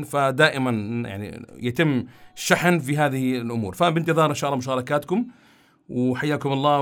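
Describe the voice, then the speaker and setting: A man hosting a call-in radio show, friendly and hurried, repeating his greetings to callers. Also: medium pitch, fairly bright tone. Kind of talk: casual call-in radio talk.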